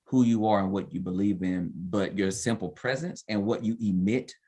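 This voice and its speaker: Guy hosting a podcast, kinda low voice, encouraging, asks open questions. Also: moderate pace, warm tone, breathy edge. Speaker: podcast host. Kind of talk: interviewing, casual chat.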